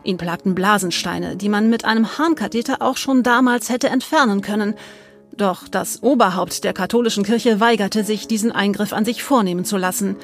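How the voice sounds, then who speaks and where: A woman with a mid-pitched voice reads as a narrator, in a scripted storytelling style suited to history. Faint plucked guitar plays beneath her. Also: normal speed. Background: soft plucked guitar music.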